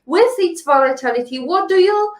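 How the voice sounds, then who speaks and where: A woman's voice: very high-pitched, lively intonation, moderate pace. A woman hosting an interview, moderating and passing audience questions along to a guest. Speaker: interview host. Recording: roomy, echoey sound.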